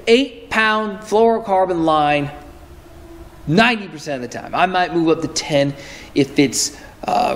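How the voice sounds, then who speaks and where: Upbeat fishing host with a higher voice. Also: male voice. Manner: casual, explanatory, corrects himself mid-sentence. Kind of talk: instructional fishing talk to camera. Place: indoor tackle room with lure-covered wall.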